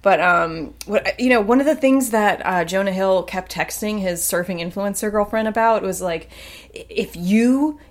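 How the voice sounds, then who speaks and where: A woman's voice: medium pitch, moderate pace. Blunt, sarcastic woman podcast host. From Long Island, New York. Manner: blunt, sarcastic, swears freely. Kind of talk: casual chat.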